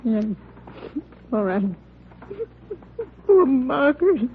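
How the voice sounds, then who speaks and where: Slow, mournful woman witness. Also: high voice, very animated, heavily acted. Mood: subdued, sorrowful. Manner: halting, mournful, repeating herself. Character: grieving, fragile female neighbor giving a police statement. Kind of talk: 1950s radio drama acting. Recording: muffled, hissy old radio recording.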